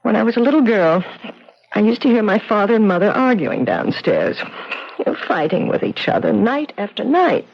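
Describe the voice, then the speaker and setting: An actress playing a frightened, fragile grown daughter haunted by childhood fear, emotional and halting, in a radio drama. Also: female voice, mid-range voice, big pitch swings, gravelly. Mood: reflective, hopeful. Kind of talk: 1970s radio drama acting. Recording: dull 1970s radio recording.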